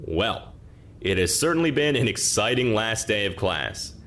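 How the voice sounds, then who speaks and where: An expressive, dry law professor. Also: male voice, fairly low pitch, unhurried, exaggerated voice. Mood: warm, formal. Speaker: actor in audio comedy drama. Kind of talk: audio comedy drama acting.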